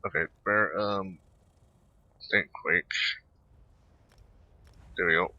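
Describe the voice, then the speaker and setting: A man doing casual gameplay commentary in a rambling, halting way, close to the microphone. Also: deep voice, fairly even intonation. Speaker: gaming video creator. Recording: dull, close dry microphone.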